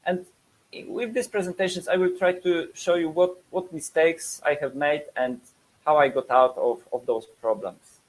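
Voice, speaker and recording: A man's voice: on the high side, moderate pace, rough recording. A man in his thirties from Poland, speaking with a Polish accent, presents a webinar with many fillers and repeated words.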